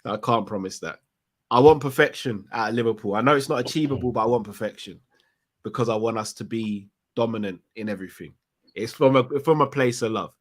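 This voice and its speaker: A man's voice: medium pitch, fairly quick. Man reading out fan messages. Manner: reads out viewer messages, laughs often. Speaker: fan channel host. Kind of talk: casual live call-in chat.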